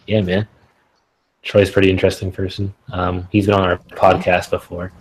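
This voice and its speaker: Low-voiced Canadian man, rambling. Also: completely flat delivery, normal speed, low-quality recording. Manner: rambling, with many fillers and laughs. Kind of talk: casual online group chat. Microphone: video call.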